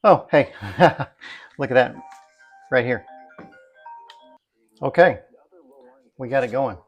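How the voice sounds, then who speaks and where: A man giving a casual, unscripted product demonstration in a folksy, wry way, thinking out loud as he goes, with a slightly gravelly voice. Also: mid-range voice, takes it slowly, lo-fi sound, soft background music. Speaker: product reviewer.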